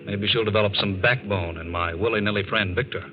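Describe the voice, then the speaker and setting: A man playing a devoted, reassuring friend in a 1940s radio drama, with an earnest, steady delivery. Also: lowish voice, fairly quick, lightly acted. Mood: earnest, tender. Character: devoted, reassuring family friend, handsome male confidant. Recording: dull noisy 1940s radio recording.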